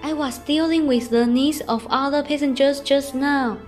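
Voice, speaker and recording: Obliging, apologetic waitress played by a young woman, high voice, radio play with music underneath. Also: fairly even intonation, normal speed, lightly acted. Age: young adult. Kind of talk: student English radio drama acting. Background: background music.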